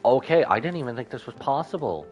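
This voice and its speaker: A man's voice: medium pitch, sing-song, fast talker, darkish tone, soft background music. A man giving casual live gameplay commentary as a streamer, thinking aloud and reacting with exclamations.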